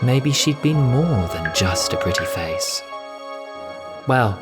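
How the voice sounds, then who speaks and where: A man's voice: medium pitch, very animated, slow pace. A man reading scripted fiction as a dramatic audiobook narrator. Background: music.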